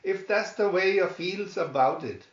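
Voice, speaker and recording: A man voicing a gruff, plucky cartoon sailor with folksy, ungrammatical speech, in a storybook reading. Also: sits high, unhurried, pitched above the performer's own voice. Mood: resigned. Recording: dull, roomy home recording.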